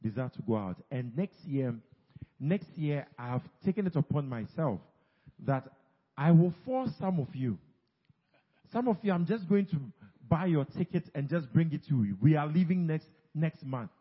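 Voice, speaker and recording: A man's voice: a little high, lively intonation, normal speed, slightly rough, in a large hall, lo-fi sound. A man leading a congregation, urging them with repeated phrases and teasing individuals by name. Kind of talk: sermon exhortation.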